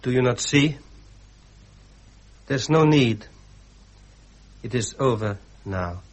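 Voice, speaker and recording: An actor playing an imperious grieving royal grandson, speaking formally and commandingly in 1970s radio drama style. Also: male voice, mid-range voice, animated, takes it slowly. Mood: solemn, urgent. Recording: noisy vintage radio recording.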